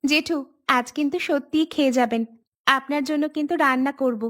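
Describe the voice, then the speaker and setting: A woman acts out a young village daughter-in-law for a cartoon. Her voice is high, and her manner is brisk and dutiful. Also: fairly even intonation, fairly bright tone, no room sound, clean studio sound. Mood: eager, polite.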